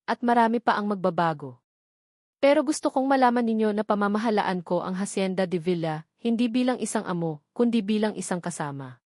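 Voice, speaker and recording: A woman's voice: medium pitch, expressive, fairly quick, darkish tone, dry recording, studio quality, lightly acted. A woman voicing a humble, resolute young heiress in a dramatic story. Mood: earnest, resolute.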